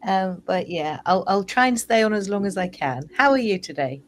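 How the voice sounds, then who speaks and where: A woman with a croaky, hoarse voice, talking as a guest in a thoughtful, questioning way. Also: mid-range voice, lively intonation, moderate pace, slight background noise. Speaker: guest in an audio room. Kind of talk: casual chat.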